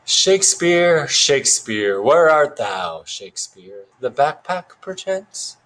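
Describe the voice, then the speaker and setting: A man voicing an eccentric schoolboy who plays a pompous detective in a theatrical, drawn-out mock British accent. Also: a little high, very animated, a bit bright, voice pushed up, exaggerated voice.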